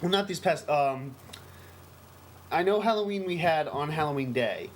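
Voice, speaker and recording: A man's voice: on the high side, expressive, moderate pace. An American man co-hosting a casual podcast, talking in a rambling, emphatic, enthusiastic way. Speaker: podcast co-host. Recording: noisy amateur podcast recording.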